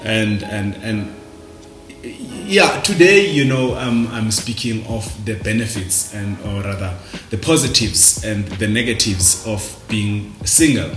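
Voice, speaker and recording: A South African man talking casually and reflectively to camera, halting and repeating words as he goes. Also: lowish voice, fairly bright tone, echoey room, soft background music. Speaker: vlogger. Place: indoors, dim room at night.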